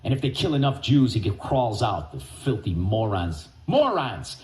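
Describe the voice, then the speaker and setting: A man hosting talk radio, ranting and mocking with name-calling and sudden shouted bursts. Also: lowish voice, sing-song, normal speed, echoey room. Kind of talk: angry talk radio rant.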